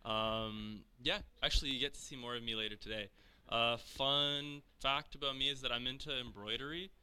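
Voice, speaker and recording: A man's voice: mid-range voice, steady tone, moderate pace, sharp tone, clean tone. A man working as an instructor, introducing himself in an easygoing, self-deprecating way. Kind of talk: casual staff introduction.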